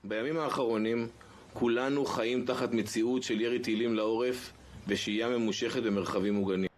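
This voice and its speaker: A man's voice: mid-range voice, steady tone, racing, a little hiss or hum. A man delivering a formal official statement as a military spokesman.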